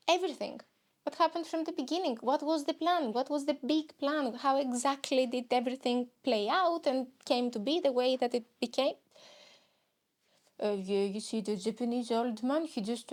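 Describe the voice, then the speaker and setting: A young woman with a Greek accent hosts her podcast in a loose, rambling comic monologue. Her voice sits fairly high, and she mocks herself as she talks. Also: darkish tone. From Greece. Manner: rambling, self-mocking, jumps into silly voices. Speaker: podcast host.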